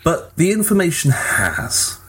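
A man's voice: a little high, wildly swooping pitch, measured pace, fairly bright tone, slight background noise. A man chatting casually as a podcast host, with a wry, pedantic way of putting things. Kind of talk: casual gaming podcast chat.

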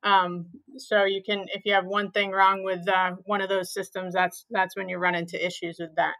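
Flat-toned woman therapist from Maine. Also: mid-range voice, moderate pace, poor audio. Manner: plain, many "um"s, simplifies explanations. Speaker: physical therapist guest. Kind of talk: interview answers, explanatory.

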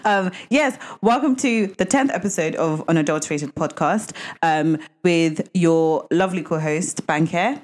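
A woman co-hosting a podcast in casual chat, bubbly and quick to laugh, whose first language is Yoruba. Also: fairly low pitch. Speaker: podcast co-host.

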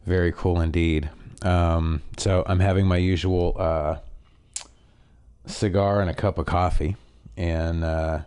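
A man from Canada co-hosts a casual podcast, his voice very deep and smooth with a faintly nasal edge. He talks in an easygoing, rambling way, full of ums and restarts. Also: slow. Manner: relaxed, rambling with fillers. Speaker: podcast co-host. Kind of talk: casual chat.

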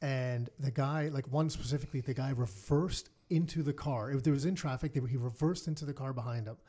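A man's voice: medium pitch, warm tone. A man in his forties co-hosting a casual podcast, asking rambling questions packed with "like"s. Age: 40s. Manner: rapid, rambling questions with many "like"s. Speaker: podcast co-host.

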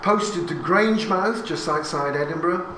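A man's voice: on the high side, moderate pace. An English man in his sixties tells an audience a family story in a chatty, anecdotal way, with asides and questions to the listeners. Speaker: speaker giving a talk. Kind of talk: talk to a live audience about family history. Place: hall with an audience. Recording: echoey room recorded from a distance, with audience noise.

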